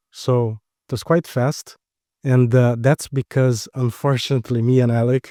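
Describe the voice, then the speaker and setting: A man's voice: mid-range voice, dark tone, no room sound, studio quality. A man from Brazil with a Brazilian accent, a startup co-founder answering interview questions in short, explanatory phrases.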